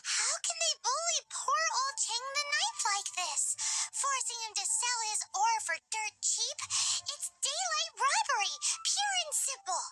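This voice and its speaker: A woman voicing a tiny, excitable female fairy companion in a video game, teasing and exclaiming. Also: very high-pitched, measured pace, hardly any pauses, full character voice. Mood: indignant. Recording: game audio with soft music.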